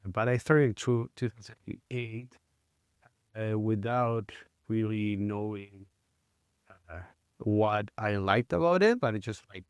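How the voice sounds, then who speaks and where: A man in his thirties from Chile, with a Chilean Spanish accent, talking as a guest in a casual interview, halting and full of fillers and restarts. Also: fairly low pitch, smooth, no echo, clean studio sound. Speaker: guest, mountain bike guide.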